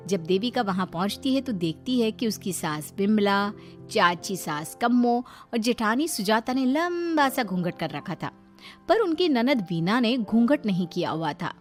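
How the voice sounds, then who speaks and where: A woman's voice: mid-range voice, very animated, a little slow, faint music behind. A woman giving scripted storytelling narration as the narrator of a moral tale.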